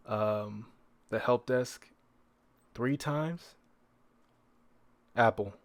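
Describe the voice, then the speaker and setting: A man's voice: fairly low pitch, no room sound. Casual male podcaster, dry close sound. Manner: conversational, rhetorical, repeats phrases for emphasis. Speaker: podcast host. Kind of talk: casual solo podcast monologue.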